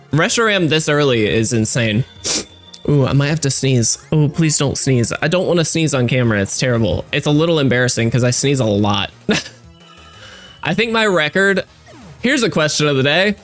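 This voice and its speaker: A man's voice: a little high, fairly bright tone. A man with a stuffy, congested voice giving chatty, upbeat let's-play commentary as a gaming creator, with lots of fillers. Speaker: gaming YouTuber.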